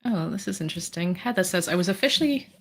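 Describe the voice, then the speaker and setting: A woman's voice: on the low side, expressive, fast. A middle-aged woman from the UK chatting casually on a livestream, reading out comments with a sore throat and plenty of fillers. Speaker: livestream host.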